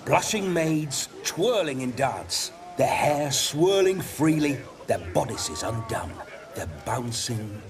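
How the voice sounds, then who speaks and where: Lecherous ghost nobleman, slow and lively pitch, game cutscene. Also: distant mic, music in the background. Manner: playful, theatrical and bawdy. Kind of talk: video game cutscene acting.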